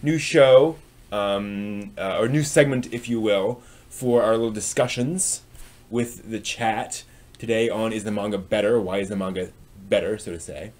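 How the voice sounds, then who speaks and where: A man's voice: fairly low pitch, a little slow, a little mellow. A man hosting a live stream, chatting casually and ramblingly as he responds to viewers. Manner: rambling, reacting to chat as it comes.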